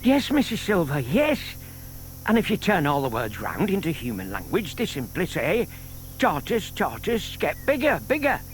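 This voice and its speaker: An actor playing a shy, scheming older gentleman who explains things patiently and politely in a children's audio drama. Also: fairly high pitch, huge pitch swings, normal speed. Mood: earnest, urging. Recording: audible hiss from old cassette tape.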